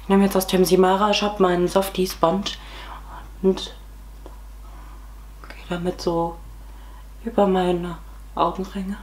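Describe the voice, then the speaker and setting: A young woman in her twenties with a cold, hoarse, chatting casually through a makeup tutorial at home with many fillers. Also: lowish voice, level tone, slow, pauses often, a little mellow. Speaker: beauty vlogger. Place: small room at home, indoors.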